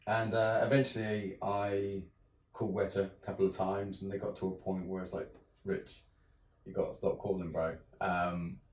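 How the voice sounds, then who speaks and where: A man's voice: sits low, normal speed, echoey room, recorded from a distance. A young English man from the south of England with a southern English accent, chatting casually in an interview with lots of ums and restarts. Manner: relaxed, many ums and restarts. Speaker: interview guest, filmmaker.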